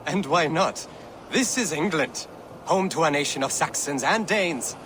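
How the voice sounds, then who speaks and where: A man's voice: fairly high pitch, lively intonation, measured pace, background noise. An actor playing a deluded, proud old man in a video game, rambling and grandiose. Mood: grandly welcoming. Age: older. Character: deluded old Saxon man, proud, fretful, wandering-witted. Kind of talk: video game cutscene acting.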